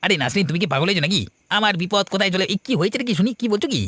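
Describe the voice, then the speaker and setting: A man voicing an excitable, cowardly village villain in a melodramatic cartoon. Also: high-pitched, rapid-fire, full character voice. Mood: scoffing. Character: scoffing village villain, a cowardly murderer begging for life.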